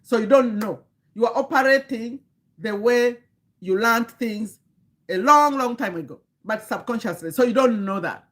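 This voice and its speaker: A woman's voice: a little high, normal speed, a bit bright. Earnest woman in her fifties. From Uganda. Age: 50s. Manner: earnest, lecturing, many restarts, greets viewers mid-sentence. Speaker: livestream host and community coach. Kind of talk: live-stream talk to chat viewers.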